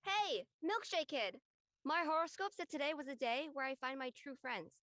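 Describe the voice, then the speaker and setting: A woman voicing a quirky, teasing high school freshman in a comedy drama, playful and mocking. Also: lively intonation, moderate pace, fairly bright tone, no echo, studio quality. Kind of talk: comedic scripted audio drama dialogue.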